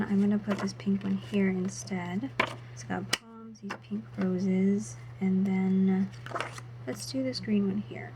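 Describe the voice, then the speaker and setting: A woman vlogger narrating her planner setup in a soft, half-whispered voice, her throat still a little sore. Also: medium pitch, noisy background. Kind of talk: casual voice-over while setting up a planner.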